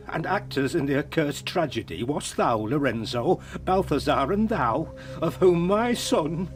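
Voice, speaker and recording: An actor playing a middle-aged knight-marshal grieving for his son and seeking revenge, in impassioned, declamatory verse for a radio tragedy. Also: fairly high pitch. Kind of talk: Elizabethan tragedy radio acting.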